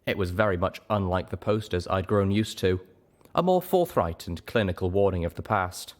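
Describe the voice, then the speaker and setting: A man with a low voice narrates fiction. His scripted reading is expressive, with very lively intonation. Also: normal speed. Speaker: audiobook reader.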